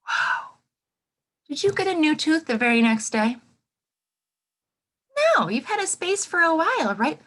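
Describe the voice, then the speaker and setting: A woman teacher reading aloud to young children in an expressive way, pausing to add asides and prompt them with questions. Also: on the high side. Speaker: elementary school teacher.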